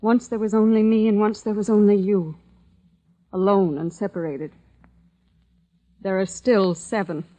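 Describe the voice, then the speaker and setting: An actress playing a steady, consoling wife among survivors, speaking in a measured, soothing way in old-time radio drama. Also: female voice, medium pitch, lightly acted. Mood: calm, consoling. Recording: old, muffled radio recording.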